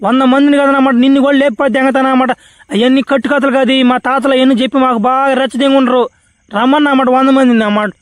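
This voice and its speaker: Squeaky, fast cartoon warrior. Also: male voice, steady tone, no room sound. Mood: defiant boasting. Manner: blustering, repetitive slangy cursing. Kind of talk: comic cartoon parody voice-over.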